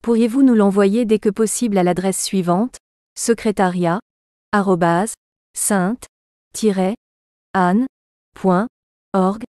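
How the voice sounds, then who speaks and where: Polite school secretary played by a woman, mellow mid voice, formal message. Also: mid-range voice, fairly even intonation, slow, pauses often, no room sound, clean studio sound, lightly acted.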